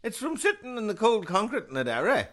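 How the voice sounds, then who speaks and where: A man's voice: high-pitched, huge pitch swings, a little fast, a bit bright, exaggerated voice. A man voicing an eccentric, blunt old uncle in a comic audio story. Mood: grumbling.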